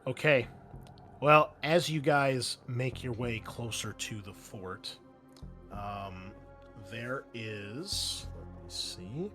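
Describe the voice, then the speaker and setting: A man running a tabletop roleplaying game as game master, explaining rules and scenes with thinking pauses. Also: mid-range voice, bright, forceful tone. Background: music.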